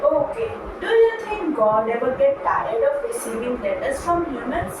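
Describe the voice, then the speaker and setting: A woman acting as interviewer in a scripted puppet show, with a deliberate, recited delivery. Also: high voice, lively intonation. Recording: very echoey room, distant mic, noisy.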